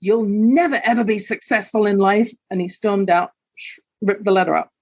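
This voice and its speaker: A woman's voice: medium pitch, steady tone, moderate pace, a little mellow, dry recording. Brief: older English woman with a London accent, reflective guest storyteller, dull dry remote line. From England. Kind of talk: candid personal storytelling.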